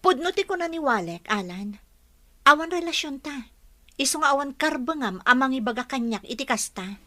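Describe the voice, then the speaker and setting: A woman's voice: high voice, animated, normal speed, pushed and bright, no room sound. An actress playing an indignant young working woman, a dutiful daughter, in a radio drama. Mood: firm, annoyed. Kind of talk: Ilocano radio drama acting.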